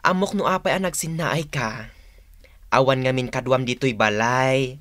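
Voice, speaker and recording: An actress with an Ilocano accent playing a coaxing younger sister in a radio drama. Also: female voice, rumbling low, moderate pace, a bit bright, no room sound. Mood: coaxing, matter-of-fact. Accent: Filipino, Ilocano. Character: coaxing younger sister leaving for city work.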